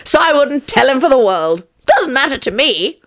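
A woman's voice: high-pitched, sing-song, moderate pace, a bit bright, pitched above the performer's own voice, full character voice. A woman voicing a boisterous old grandfather who shrieks and whoops with glee in a storybook reading. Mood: smug.

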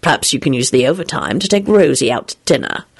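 A dry, lofty gentleman wizard voiced by a woman, kept low. He speaks politely and with understatement, measured and superior, as a storybook character. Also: big pitch swings, gravelly, breathy edge, no room sound, exaggerated voice. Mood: cool, lofty.

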